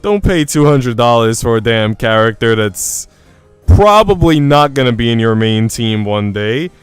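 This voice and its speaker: A man's voice: mid-range voice, lively intonation, a little slow, clear, resonant tone, dry recording, soft background music. A man livestreaming a game, talking casually with informal slang and reading story text aloud. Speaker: game streamer.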